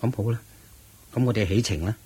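An actor playing a devoted, anxious disciple in a Cantonese radio drama, with a Hong Kong accent and an earnest, deferential, breathy delivery. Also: male voice, fairly low pitch, fairly quick, dark tone. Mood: concerned, deferential.

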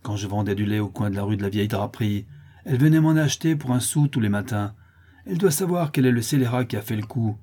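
Man acting a furious, rough peasant widow, husky. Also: on the low side, completely flat delivery, rapid-fire, hardly any pauses, mellow, natural voice. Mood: furious, accusing. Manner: coarse, accusing, exclamatory. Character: rough, bitter, furious forty-year-old peasant milkmaid widow.

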